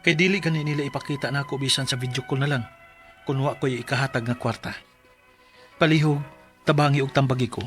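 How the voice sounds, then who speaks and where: A man's voice: mid-range voice, fairly even intonation, a little fast, pauses often, fairly bright tone, soft background music, natural voice. An actor with a Cebuano Filipino accent playing a distraught rural father who pleads for his children in a radio drama. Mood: aggrieved, sorrowful. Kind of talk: Bisaya radio drama acting and letter narration.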